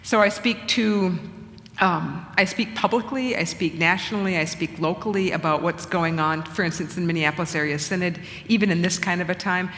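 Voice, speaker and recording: A middle-aged woman bishop talks in an earnest, measured manner. She thinks aloud, often restarting and rephrasing her thoughts. Her voice rings with a long reverb in a church hall. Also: lowish voice, a bit bright, slight background noise. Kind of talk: conversational church talk. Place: church sanctuary.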